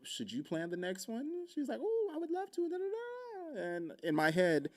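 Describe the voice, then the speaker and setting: A man's voice: very high-pitched, huge pitch swings, normal speed, a little mellow. A young man from Oahu telling a casual story, acting out quoted lines in shifting voices. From Hawaii, Oahu. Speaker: podcast host.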